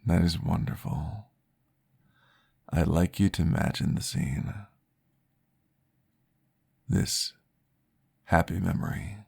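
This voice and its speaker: A man playing a calm, courteous vampire therapist in an ASMR roleplay, guiding gently and formally in a breathy, gravelly voice. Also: low-pitched, exaggerated voice. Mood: quietly delighted. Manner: slow, gentle, formal, soothing guidance.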